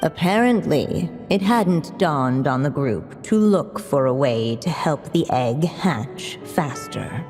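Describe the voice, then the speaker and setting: A woman playing a measured, wry storybook narrator in a video game, speaking in deliberate literary phrases. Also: deep voice, lively intonation, darkish tone, faint music behind. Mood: wry, guiding. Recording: long reverb around the voice.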